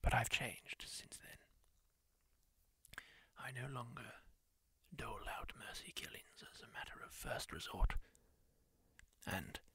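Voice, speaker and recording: A man doing a whispered roleplay, voicing a kindly former war surgeon who soothingly tends a wounded stranger, gentle and reassuring up close to the mic. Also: mid-range voice, breathy edge, exaggerated voice. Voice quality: soft whisper, slow and soothing. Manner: gentle, reassuring, asks permission. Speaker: ASMR roleplayer.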